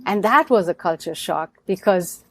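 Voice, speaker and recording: A middle-aged woman from India, a podcast guest telling her story in an animated way and acting out the people she quotes. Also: on the low side, moderate pace, no echo. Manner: animated storyteller who acts out quoted people, laughs often. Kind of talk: storytelling interview.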